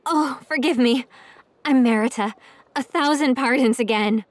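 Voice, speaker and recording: A woman's voice: high-pitched, measured pace, no echo. A woman playing a deferential, easily flustered villager in an audio drama, apologetic and eager to please. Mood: flustered, pleading.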